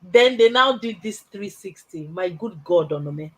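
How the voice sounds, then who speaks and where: A woman hosting a casual career chat, telling stories in an animated way, laughing often and tagging her points with "right?". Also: mid-range voice, a little slow, breathy edge. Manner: emphatic, tags points with "right?". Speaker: host.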